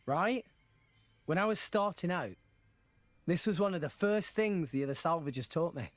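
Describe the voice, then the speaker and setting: A male actor plays an earnest teenage salvager boy in a game cutscene. His voice is high and very animated, close and dry over music. He talks in an eager, friendly, straightforward way. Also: normal speed, no echo, natural voice. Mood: upbeat. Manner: eager, friendly, plain-spoken. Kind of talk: video game voice acting.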